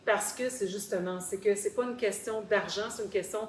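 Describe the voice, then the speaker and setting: A Québécois woman speaks as a guest in a group discussion, in an articulate, explanatory way. Her voice sits at an average pitch and she repeats words for emphasis. The room is echoey, with faint noise behind her. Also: moderate pace. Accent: Québécois French. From Quebec, Canada. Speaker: guest sharing personal experience. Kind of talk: conversational storytelling in a group discussion. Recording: roomy echo, faint background noise.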